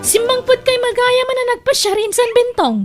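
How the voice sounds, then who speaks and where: Very high, gossipy cousin played by a woman. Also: steady tone, fairly quick, soft background music. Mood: curious, teasing. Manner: inquisitive, gossipy. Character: nosy, chatty, teasing cousin. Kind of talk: Ilocano audio drama acting.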